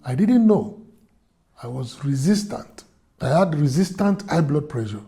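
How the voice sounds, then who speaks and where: Man from Nigeria, slow halting testimony, earnest, mid pitch, music playing underneath. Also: big pitch swings, mellow. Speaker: fundraiser subject. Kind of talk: personal testimony.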